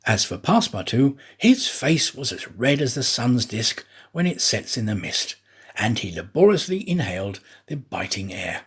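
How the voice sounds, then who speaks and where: A man reading a classic adventure story aloud as an audiobook narrator, with a deliberate, dramatic storytelling delivery. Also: mid-range voice, very animated, fairly bright tone, slightly rough. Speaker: audiobook reader, actor.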